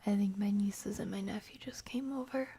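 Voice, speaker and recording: A woman's voice: mid-range voice, normal speed, vocal fry, warm tone, breathy edge. A woman hosting a livestream, chatting casually as she reacts to videos and reads out comments. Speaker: livestream host.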